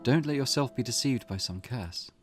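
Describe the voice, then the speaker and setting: A man voicing a cautious, protective teenage schoolgirl who explains things in a measured, earnest way in a visual novel. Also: mid-range voice, animated, close to an everyday voice. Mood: firm concern. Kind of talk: character voice reading a visual novel. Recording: music in the background.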